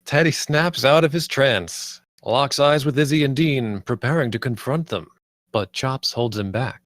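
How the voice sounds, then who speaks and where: A man's voice: medium pitch, unhurried, a bit bright, no echo, studio quality. A man reading scripted stage directions as the narrator of a screenplay table read.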